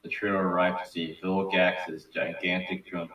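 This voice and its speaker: A man's voice: sits low, flat delivery, measured pace, bright, forceful tone. A man narrating a script at a casual table read, informal and sweary, stumbling over words. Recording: echoey room, distant mic, background noise.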